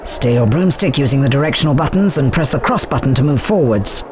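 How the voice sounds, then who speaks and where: A commanding British witch teacher, strict and brisk. Her delivery is animated and authoritative, with faint noise behind the game dialogue. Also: very deep voice. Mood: instructing. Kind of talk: scripted video game instruction. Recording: noisy game sound behind voice.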